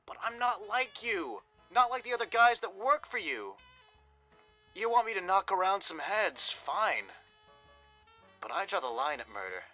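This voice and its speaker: An actor playing a plain-spoken, earnest young hired thug in a 1930s radio drama. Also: male voice, high-pitched, very animated, unhurried, pushed and bright, faint music behind, heavily acted. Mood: protesting, defiant. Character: tough, earnest young male hired thug, devoted expectant father.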